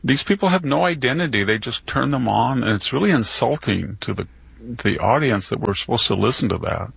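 A man's voice: medium pitch, expressive, a little fast, gravelly, fairly bright tone, husky, no echo. A man giving indignant, scoffing commentary in a played clip.

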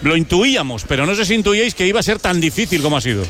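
A man with a Spanish accent hosting a live sports broadcast, excited and emphatic. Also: sits high, racing, faint music behind. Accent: Spain.

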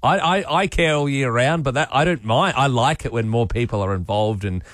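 A man co-hosting a breakfast radio show, running a quiz with enthusiastic, quick banter. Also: medium pitch, fairly bright tone, dry recording, studio quality. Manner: enthusiastic, quick-talking quizmaster. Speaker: radio co-host.

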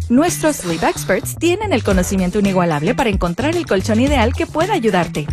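A woman reading a scripted store ad in an upbeat, persuasive way. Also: fairly high pitch. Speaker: ad voice-over. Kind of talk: scripted retail ad.

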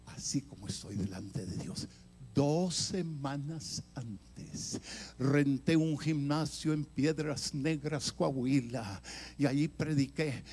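A man's voice: medium pitch, slight background noise. Older man preaching with dramatic swings. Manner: dramatic storytelling, swinging from loud emphatic bursts to hushed near-whispers. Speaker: evangelist preacher. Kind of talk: sermon testimony.

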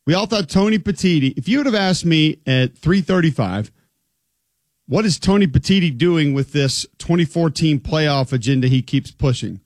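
Chatty sports radio host. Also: male voice, fairly high pitch, moderate pace, darkish tone, dry recording. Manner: chatty, emphatic, jokey. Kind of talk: sports talk show.

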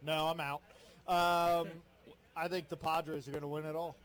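Man, loud booming voice, sports radio co-host, opinionated chatter, reads listener texts, fairly high pitch. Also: flat delivery, unhurried. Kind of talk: casual sports radio banter. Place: broadcasting live from a restaurant. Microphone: headphones and microphone.

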